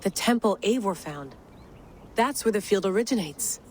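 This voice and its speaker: A determined woman adventurer played by an actress speaks at medium pitch in a game cutscene. She is direct and intense, clipping her phrases over faint noise. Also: lively intonation, normal speed, a little mellow, lightly acted. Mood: intent. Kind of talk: naturalistic game cutscene acting.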